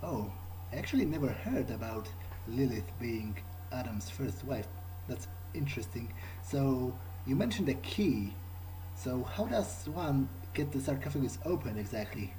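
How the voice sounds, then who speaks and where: A man's voice: mid-range voice, very animated, a little slow, dark tone, a little hiss or hum, poor audio. A man improvising in character during a video game, conversational and questioning, with hesitant phrasing. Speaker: let's player roleplaying in character.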